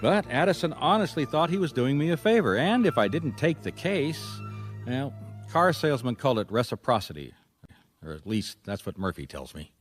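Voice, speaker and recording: An actor playing a wry, easygoing private detective with an American accent in a radio mystery, conversational and probing. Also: male voice, mid-range voice, big pitch swings, moderate pace, faint music behind. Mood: wry narration. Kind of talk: radio mystery drama with first-person narration.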